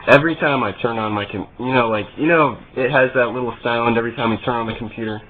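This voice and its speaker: Man on a muffled line, deadpan and halting. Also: mid-range voice, slight background noise. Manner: deadpan, mock-earnest, halting. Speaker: prank caller. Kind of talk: prank phone call posing as a customer with a computer problem. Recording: muffled narrowband phone call.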